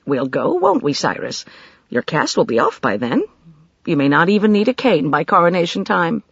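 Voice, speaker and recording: A woman reading an audiobook, voicing a calm, sensible older wife who speaks firmly and reasonably. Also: sits low, normal speed, creaky, breathy edge, no echo, exaggerated voice. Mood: sleepy calm. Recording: dry, slightly dull studio recording.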